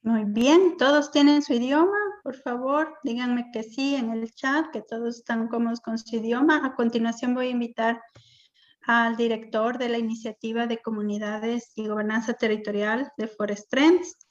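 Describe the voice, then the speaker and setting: A woman hosting a webinar over a video call, welcoming participants and giving instructions in a halting way. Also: a little high, steady tone. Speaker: webinar host and moderator. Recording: dull, lo-fi online call audio.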